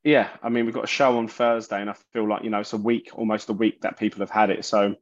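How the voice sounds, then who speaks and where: A man, a musician guest in an interview, talking haltingly and often restarting his sentences. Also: on the low side, flat delivery. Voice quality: loud voice. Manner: halting, searching for words, many restarts. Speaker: musician guest, bassist and singer. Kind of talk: casual interview talk. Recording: dull lo-fi sound.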